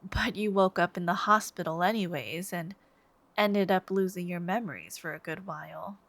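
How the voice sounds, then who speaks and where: A woman's voice: lowish voice, fairly even intonation, a bit bright. A woman playing a smitten, sweetly menacing young supervillain stalker in an intimate ASMR roleplay, coy and theatrical, sometimes murmuring. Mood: admiring, obsessed. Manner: coy, unhurried, theatrical, lapsing into breathy murmurs.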